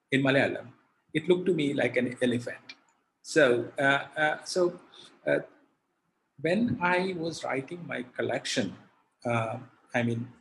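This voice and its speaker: A man, a poet answering interview questions over a video call, halting with many fillers and restarts. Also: medium pitch, gravelly, slight background noise, rough recording. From India. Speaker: poet, guest.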